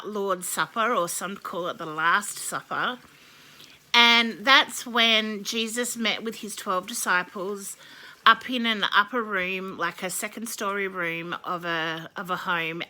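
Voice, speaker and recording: A woman with a slightly croaky voice explaining a holiday in a casual, meandering way, with many ums and self-corrections. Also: medium pitch, sharp tone. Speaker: video host explaining a holiday. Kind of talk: casual explanatory talk to camera.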